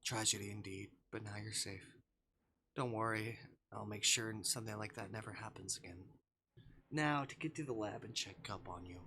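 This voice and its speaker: A man voicing a calm, reassuring male doctor from a visual novel, soothing and measured. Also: on the low side, a little hiss or hum.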